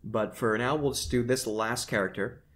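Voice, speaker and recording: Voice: mid-range voice, fairly even intonation, normal speed, fairly bright tone. A host chatting casually about game characters, explaining things informally and checking in with the guests. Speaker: host asking questions.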